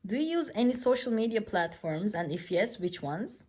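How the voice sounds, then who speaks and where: A woman's voice: mid-range voice, very animated, normal speed, darkish tone, a little hiss or hum. A woman interviewer putting prepared survey questions to people.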